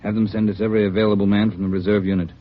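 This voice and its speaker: An actor playing a brisk police chief who gives orders to his detectives in a 1950s radio drama. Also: on the low side, level tone, close to an everyday voice. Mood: commanding. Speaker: police captain in charge. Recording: muffled vintage radio broadcast.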